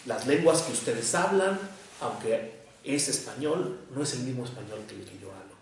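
A man with a Mexico City accent lectures in a slow, deliberate cadence, breaking his thoughts into short phrases. His voice has a gravelly edge and sits at an everyday pitch. The recording is heavily echoey, with the mic well away from him. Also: expressive, slight background noise. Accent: Mexican Spanish, Mexico City. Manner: measured, deliberate, with short pauses between phrases. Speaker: psychoanalyst giving a lecture. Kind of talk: academic lecture to an audience. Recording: echoey room, voice picked up from far off.

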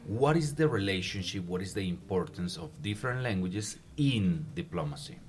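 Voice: sits low, lively intonation, normal speed, darkish tone. An interviewer asking a student questions for listeners, encouraging her, rephrasing questions and supplying the words she is missing. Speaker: interviewer host.

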